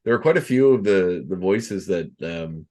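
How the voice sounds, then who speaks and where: A man's voice: low-pitched, animated, moderate pace, warm tone. A Canadian man hosting a podcast, agreeable and casual, with many fillers. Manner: agreeable, frequent "yeah" and "like". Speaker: podcast host.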